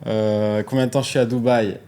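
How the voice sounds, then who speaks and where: A man's voice: on the low side, a little fast, clear, resonant tone. A man, a guest chatting casually and joking in an interview. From France. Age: 40s. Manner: relaxed, joking, says "tu vois" a lot. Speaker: guest artist.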